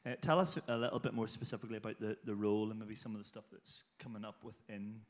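A man's voice: on the low side, flat delivery, fast. A man, a church leader introducing and interviewing a guest in a hesitant, casual way. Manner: hesitant, with many fillers and restarts.

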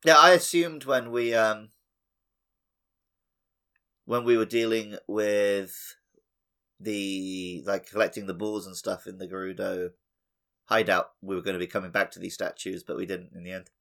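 A man's voice: lowish voice, steady tone, measured pace, gravelly, pauses often, sharp tone. A man co-hosting a casual gaming podcast, talking in a loose, joking, informal way. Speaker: podcast co-host.

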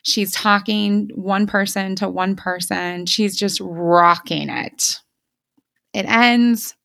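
A woman's voice: mid-range voice, takes it slowly, gravelly, fairly bright tone, no room sound, clean studio sound. A woman hosting a podcast in an enthusiastic, conversational way, gushing and emphatic in informal language. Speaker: podcast host.

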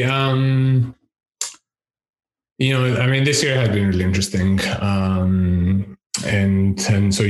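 Deep-voiced man, slow and laughing. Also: a little mellow, echoey room, poor audio. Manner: laughs often, thinks aloud with fillers. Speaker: podcast guest, education researcher. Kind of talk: casual interview chat. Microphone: over-ear headset with boom mic.

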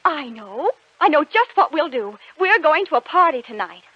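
A woman's voice: high-pitched, normal speed, no room sound. An actress playing an eager young woman in love who coaxes her sweetheart into a bold plan, in an old radio drama. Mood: coaxing. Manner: eager, coaxing, animated. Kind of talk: 1940s radio acting. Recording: dull lo-fi old radio recording.

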